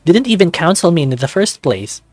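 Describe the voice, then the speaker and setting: A man's voice: fairly high pitch, big pitch swings, moderate pace, a little mellow, no echo, voice pushed up. An indignant, wounded beast-man hero from a visual novel, acted out by a male reader. He speaks emphatically and with a short temper. The sound is dry, with faint music behind. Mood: angry, hurt. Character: hot-tempered young male furry beast, betrayed narrator-hero.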